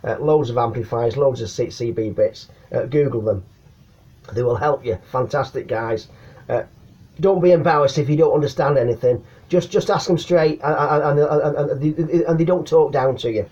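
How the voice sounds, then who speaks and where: A man's voice: medium pitch, moderate pace, dark tone, husky, highs cut off. A middle-aged man with an English accent giving casual, slangy advice to camera, hesitating and repeating words as he goes. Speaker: CB radio hobbyist giving advice. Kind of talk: casual chatty explainer to camera. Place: small attic room at home.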